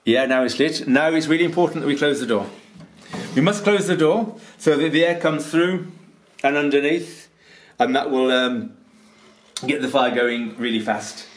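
A man in his fifties, a holiday rental host, patiently explaining a task step by step with frequent ums, recorded on a handheld camera in a stone-walled kitchen. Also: mid-range voice. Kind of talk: instructional tutorial.

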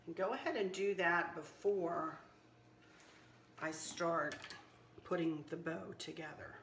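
A slightly hoarse woman hosting a casual craft tutorial, chatting and teasing herself as she works. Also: on the low side, steady tone, slow, a bit bright, echoey room, slight background noise. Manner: chatty, self-teasing, talks while working. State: a little hoarse. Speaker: craft channel host. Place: kitchen counter indoors.

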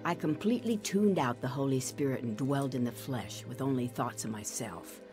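Low-voiced, remorseful woman. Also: moderate pace, over music. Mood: regretful narration. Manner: earnest, regretful. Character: remorseful woman telling her life story, burdened by shame. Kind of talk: dramatized first-person testimony.

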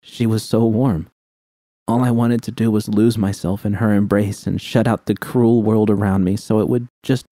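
A man reading a first-person fan story aloud in a quiet, subdued manner. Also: on the low side, no echo. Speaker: audiobook reader.

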